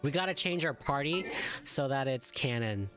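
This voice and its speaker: A man's voice: medium pitch, lively intonation, moderate pace, music in the background. Young man doing casual game reaction commentary. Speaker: gaming video creator.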